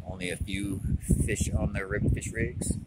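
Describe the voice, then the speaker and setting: A man's voice: fairly low pitch, lively intonation, moderate pace, vocal fry. A man giving a plain, matter-of-fact fishing report, filmed outdoors on an ocean pier with a handheld phone. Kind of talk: casual fishing report while filming. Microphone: handheld phone camera mic. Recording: loud wind and surf noise.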